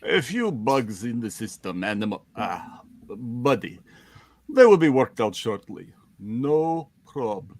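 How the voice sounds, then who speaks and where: Man voicing a hearty, animated Soviet superhero. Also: medium pitch, slow, no echo. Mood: friendly, reassuring. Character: cheerful Soviet armored superhero, hearty loyal family man. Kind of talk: comic book dramatic reading, amateur.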